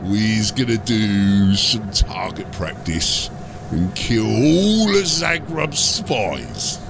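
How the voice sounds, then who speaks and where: A man voicing a scheming, brutish ork mechanic in a fantasy audiobook, with a gravelly voice and broken grammar. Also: on the low side, sing-song, background noise, exaggerated voice. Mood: gruff, menacing. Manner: slow, broken grammar, mulling aloud.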